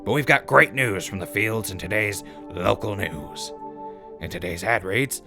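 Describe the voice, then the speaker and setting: A man's voice: lowish voice, unhurried, music in the background. A man playing a wry, cheeky wasteland radio host with folksy, mock-gracious announcer patter. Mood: upbeat. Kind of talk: scripted in-character radio broadcast.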